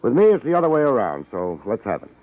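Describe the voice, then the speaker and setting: An actor playing a tough, world-weary private detective in a vintage radio drama, narrating and wisecracking in deadpan hard-boiled slang. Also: male voice, mid-range voice, fast. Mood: wry, weary. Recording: muffled old radio recording, music behind.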